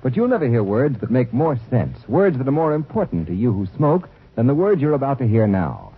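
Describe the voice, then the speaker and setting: A man's voice: medium pitch, sing-song, brisk, husky. A man reading a 1940s radio commercial, persuasive and emphatic. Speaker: commercial announcer. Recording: tinny old radio broadcast.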